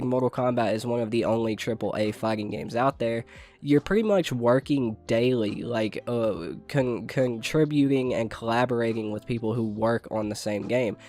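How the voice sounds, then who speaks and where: A young man with a nasal voice, stuffed up from a cold. He talks casually like a gaming news host, rambling, swearing and restarting his sentences. His pitch sits in the middle of the range. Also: moderate pace, a little mellow, faint music behind. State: sick with a stuffy nose. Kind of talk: casual commentary.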